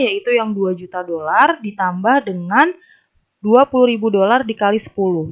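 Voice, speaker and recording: Casting: young female tutor, medium pitch, explains step by step, slightly dull low-fidelity sound. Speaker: accounting tutor. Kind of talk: instructional narration of a worked problem. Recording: dull, lo-fi voice recording.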